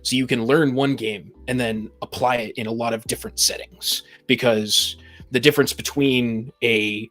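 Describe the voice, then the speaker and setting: A man of average pitch talks as a podcast panelist. He sounds assertive and explains his opinions in a conversational style. Quiet music runs underneath. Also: steady tone, moderate pace. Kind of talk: casual opinionated discussion.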